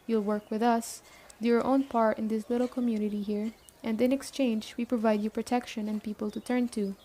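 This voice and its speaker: A woman playing a stern but caring survivor camp leader in an ASMR roleplay, speaking briskly and matter-of-factly straight to the listener. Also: on the high side, little pitch movement, warm tone, close to an everyday voice. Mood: stern, authoritative. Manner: brisk, matter-of-fact, addressing the listener directly.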